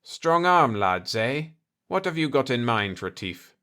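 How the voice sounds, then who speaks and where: A man's voice: medium pitch, big pitch swings, measured pace, bright, forceful tone. Man voicing a blustering, indignant colonial leader. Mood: gruff, wary. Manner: gruff, combative, complaining. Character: indignant, blustering cigar-chewing planetary chief of state, male. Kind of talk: dialogue in a sci-fi audiobook.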